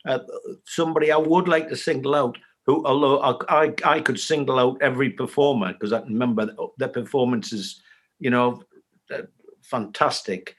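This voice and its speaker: A man in casual chat who rambles and halts as he talks fondly. His pitch sits in the middle range. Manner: rambling, halting, fond. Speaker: writer of the show.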